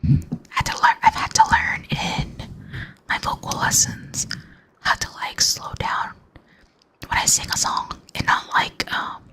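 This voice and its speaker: A young adult ASMR streamer chatting with viewers in a rambling, close-mic whisper, full of fillers and soft little laughs. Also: measured pace, pauses often, poor audio. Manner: laughs softly between thoughts. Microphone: close mic, near enough to blow into.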